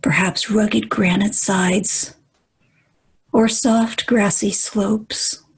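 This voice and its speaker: Slow, breathy woman guiding meditation. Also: mid-range voice. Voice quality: soft, breathy, at times near whisper. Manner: calm, soothing, measured phrases with long pauses. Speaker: meditation guide. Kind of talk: guided meditation.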